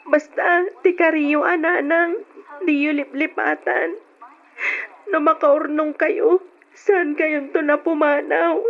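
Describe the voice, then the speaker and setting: A woman's voice: high voice, little pitch movement, slow pace. A woman playing an earnest young daughter in a radio drama, with a plaintive, childlike manner. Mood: pleading. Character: young daughter, earnest little sister missing her mother. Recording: dull lo-fi radio drama recording.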